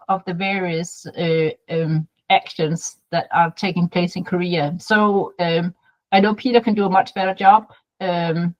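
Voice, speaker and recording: A woman hosting a video call, speaking informally with hesitant, halting phrasing. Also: mid-range voice, lo-fi sound. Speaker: host introducing the speaker. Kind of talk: informal welcome to an online meeting. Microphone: video call microphone.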